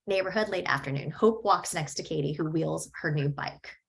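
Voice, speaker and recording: A woman's voice: sits low, normal speed, gravelly. Woman reading stage directions aloud. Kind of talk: script table read narration.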